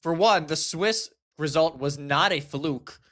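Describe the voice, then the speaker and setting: A man's voice: a little high, a little slow, fairly bright tone. A man giving live esports commentary and analysis in a conversational, explanatory way.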